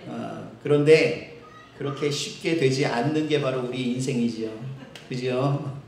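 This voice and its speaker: Brief: male Seoul-accented pastor, mid-pitched, relaxed sermon delivery in a reverberant church. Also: vocal fry, slight background noise. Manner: conversational, with filler pauses. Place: church sanctuary. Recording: echoey church hall.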